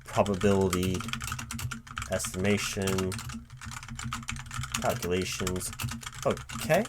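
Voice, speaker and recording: A man speaking aloud in a one-sided job interview ASMR roleplay as a recruiting manager, halting and puzzled, often repeating things to check them. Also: deep voice, steady tone, noisy background. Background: computer keyboard typing.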